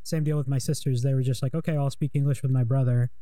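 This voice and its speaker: A man's voice: medium pitch, fairly even intonation, fast, mellow, no room sound. A young man in casual conversation, talking in a rambling, hesitant way with many fillers while interviewing a guest.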